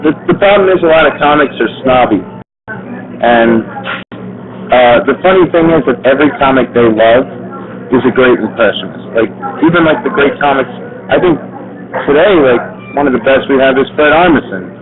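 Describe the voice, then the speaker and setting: A man hosting a casual online talk show rambles through his opinions in an easy, chatty way. His pitch is ordinary, and his voice comes through thin and phone-like. A crowd can be heard chattering behind him. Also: normal speed. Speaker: show host.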